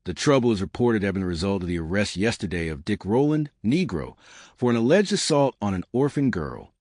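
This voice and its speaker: A man's voice: on the low side, sing-song, fairly quick, darkish tone, no room sound, clean studio sound. A man narrating scripted newspaper reports with a formal news-reading delivery.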